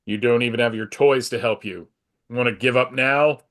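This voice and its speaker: A man's voice: mid-range voice, fairly even intonation, a little fast, fairly bright tone, lightly acted. A man playing a confident, polite comic book superhero, earnest and composed, in a dramatic table read. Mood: firm confidence. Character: heroic, polite, confident adult male superhero.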